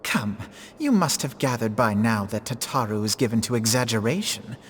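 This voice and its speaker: An actor as a self-possessed, eloquent fantasy gentleman with a mid-range male voice. He speaks formally, as in a game cutscene. The sound rings with long reverb over faint noise. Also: mid-range voice, lively intonation, moderate pace, natural voice. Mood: concerned. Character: articulate, self-possessed gentleman warning of unrest. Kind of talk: video game cutscene acting.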